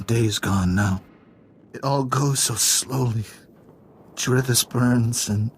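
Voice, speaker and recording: A man's voice: medium pitch, slight background noise, natural voice. Man acting a dazed, delirious captive. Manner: dazed, halting, stammering. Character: drugged, delirious, confused male captive, helpless and docile. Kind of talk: video game voice acting.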